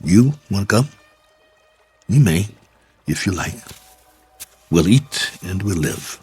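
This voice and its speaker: An actor playing a stranded space traveler, weary but hopeful, halting and musing in a radio drama. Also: male voice, sits low, expressive, a little mellow, breathy edge, faint music behind, full character voice. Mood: gentle, hopeful. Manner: halting, musing, talks to himself.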